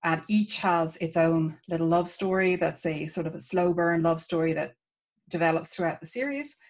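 A woman from Ireland with an Irish accent, an author chatting warmly about her writing as an interview guest. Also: on the low side, moderate pace. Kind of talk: casual interview chat.

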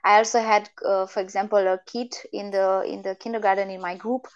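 A young woman from Romania with a Romanian accent, speaking English as a second language as a guest in a casual conversation, chatty and quick to laugh. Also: medium pitch, level tone, clean tone. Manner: chatty, laughs often, many restarts. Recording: dull lo-fi audio.

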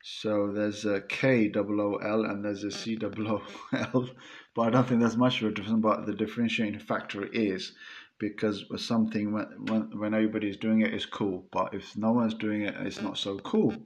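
A man with a fairly low voice answers his co-host's questions in a relaxed chat. He hesitates often, restarting sentences and filling the gaps with ums. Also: moderate pace. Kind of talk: casual chat.

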